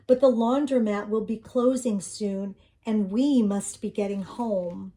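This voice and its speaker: Woman reading as a gentle, firm, unhurried mother. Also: medium pitch, natural voice. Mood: gentle, reassuring. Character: gentle but firm mother of young girl. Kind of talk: children's storybook read-aloud.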